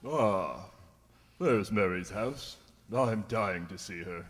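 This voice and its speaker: Man playing a lowish-voiced, proud young husband. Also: lively intonation, takes it slowly, soft background music. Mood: eager. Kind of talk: radio drama acting. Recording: reverberant hall sound.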